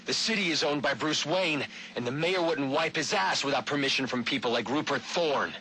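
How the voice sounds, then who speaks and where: An actor playing a zealous anarchist vigilante in a video game, preaching with a deliberate, menacing delivery. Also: male voice, fairly high pitch, sharp tone, a little hiss or hum. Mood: ominous, fervent. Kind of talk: video game voice acting, audio log.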